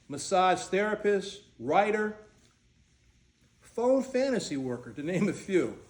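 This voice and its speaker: A man playing an easygoing older groom in a staged reading, plainspoken with dry humour and gently stubborn. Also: high-pitched. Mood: fondly teasing. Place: indoor room, staged reading. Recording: echoey room.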